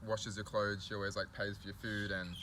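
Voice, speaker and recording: A young man hosting a casual chat, relaxed, full of "like" and "you know". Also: fairly low pitch, fairly quick, noisy background. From Australia. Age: young adult. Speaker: host.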